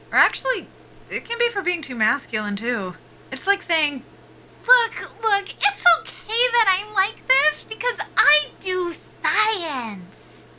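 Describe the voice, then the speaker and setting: A woman's voice: high-pitched, bright, forceful tone, slight background noise, heavily acted, low-quality recording. A woman acting a nervy, foul-mouthed young soldier in a sci-fi audio drama, wry and defiant in a casual, joking way. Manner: sardonic, casual, sweary, joking under nerves.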